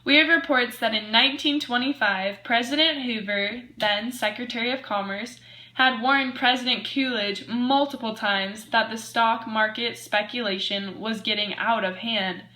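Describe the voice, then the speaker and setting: A woman's voice: on the high side, normal speed, sharp tone. A woman playing an earnest news anchor in a scripted amateur 1920s radio broadcast.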